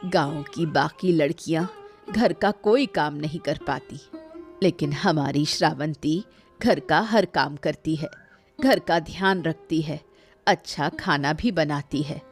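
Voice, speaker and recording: A woman's voice: sits low, takes it slowly, soft background music. A woman voicing a humble village mother in a cartoon story, deferential yet proud of her child. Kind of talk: Hindi cartoon moral story dialogue.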